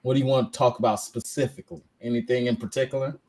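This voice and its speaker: A man's voice: mid-range voice, fairly even intonation, quick pace, darkish tone. Rambling man podcast co-host. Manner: rambling, many fillers and restarts. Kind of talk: casual chat.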